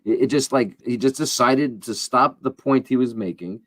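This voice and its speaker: Man, average pitch, casual co-host chat, halting, repeated word starts, dry sound. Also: no room sound.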